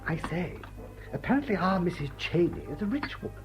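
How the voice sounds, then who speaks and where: An actor playing an amiable, grumbling English gentleman of leisure with an upper-class accent and a blustery, chatty manner in a period drama. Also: high-pitched, big pitch swings, a little fast. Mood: grumbling, genial. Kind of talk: period drawing-room radio drama acting. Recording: dull muffled audio, music underneath.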